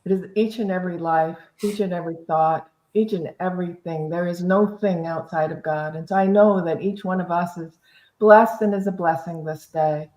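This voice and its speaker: A woman's voice: lowish voice, steady tone, normal speed, darkish tone. Roomy-sounding woman leading a guided prayer. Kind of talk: slow guided spoken prayer. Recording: roomy echo, slightly dull online audio.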